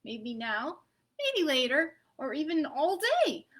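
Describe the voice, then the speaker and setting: A woman's voice: sits high, animated, slow pace, a bit bright, full character voice. A woman voicing a shy, hopeful little boy who is a peanut butter character in a storybook, chanting his plea in a sing-song rhyme.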